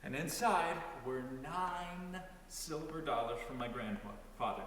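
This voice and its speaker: A man's voice: on the high side, lively intonation, takes it slowly, fairly bright tone, slight background noise. A man reading a story aloud as a dramatic narration. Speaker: reader in a church staff dramatic reading. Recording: very echoey hall, distant mic. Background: music.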